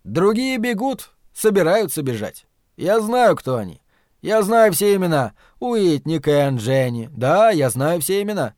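A man voicing a sinister, commanding dark master who taunts and purrs with false tenderness in an audiobook. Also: high voice, very animated, unhurried, no echo, studio quality, voice pushed up. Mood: smug, menacing. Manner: imperious, taunting, swinging from roars to purring.

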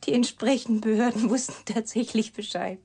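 An actress playing an embittered, secretive woman scientist in a German radio drama, deliberate and intense in delivery. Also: a little high, steady tone, mellow, breathy edge. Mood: cool, scornful. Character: embittered woman scientist, secretive product of her father's experiment.

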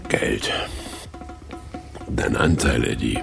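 Gravelly, low, sardonic drifter. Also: male voice, extremely slow, roomy sound, music in the background, heavily acted. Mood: matter-of-fact. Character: Charlie, weary male vagrant, ex-actor, bossy schemer. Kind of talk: 1980s German radio drama acting.

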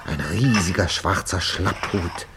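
Spooky story narrator, deep voice, dramatic and solemn, hissy old radio recording. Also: male voice, slow pace. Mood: solemn suspense. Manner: solemn, suspenseful. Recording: noisy 1950s radio recording.

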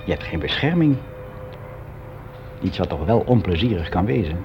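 Timid sixty-year-old clerk, muffled recording. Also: male voice, low voice, sing-song, over music. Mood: uneasy, confiding. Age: 60s. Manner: halting, confiding, colloquial, with hesitant self-corrections. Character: sixty-year-old male office employee, lonely, timid, regretful, candid. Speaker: actor performing a radio monologue. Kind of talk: conversational radio drama monologue. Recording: dull, lo-fi old radio recording.

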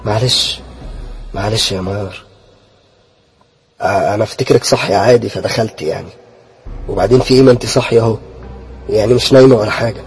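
A man's voice: lowish voice, fast, pauses often, darkish tone, breathy edge, faint music behind, voice pushed down. A man playing a jittery young Egyptian guy. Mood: defensive. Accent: Egyptian Arabic. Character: nervous young man, defensive and scared.

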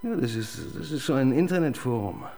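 A man's voice: medium pitch, animated, fairly quick, darkish tone, close to an everyday voice. A man playing a flustered young police assistant. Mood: chatty. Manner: flustered, stammering when pressed. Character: awkward young male police assistant, computer-minded. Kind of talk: radio crime drama acting.

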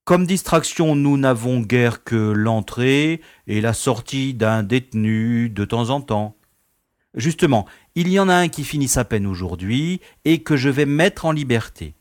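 An actor playing an easygoing, self-important prison director in an audio comedy, speaking in a courteous, formal, paternal way. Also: male voice, mid-range voice, lively intonation, measured pace, no echo, clean studio sound, lightly acted. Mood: genial, self-satisfied. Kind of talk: French radio comedy acting.

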